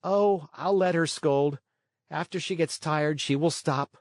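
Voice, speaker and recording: Man as a cocky high-voiced mischievous boy. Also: unhurried, no echo. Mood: brash, dismissive. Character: brash, carefree schoolboy tempter, eager for mischief. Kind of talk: storybook character voice.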